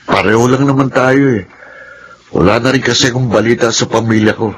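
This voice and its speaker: A restless fellow prisoner, played by a man in a radio drama, talking eagerly. Clear background noise runs under his mid-pitched voice. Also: a little fast, natural voice. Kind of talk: classic radio drama acting.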